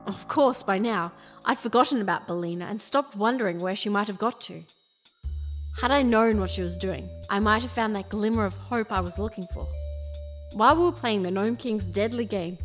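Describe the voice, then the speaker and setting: A woman's voice: on the high side, natural voice. A woman playing an earnest, burdened young princess in a fantasy audio drama, both speaking and narrating. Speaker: character and narrator. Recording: loud music behind.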